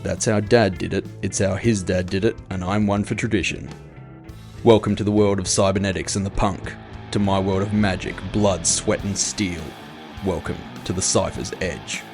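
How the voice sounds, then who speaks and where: A gritty, low-pitched bounty hunter played by a man tells his own cyberpunk fantasy tale. He is world-weary and terse, speaking as a scripted first-person storyteller. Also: steady tone, moderate pace, music in the background. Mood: confident, gritty. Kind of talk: scripted audio fiction narration.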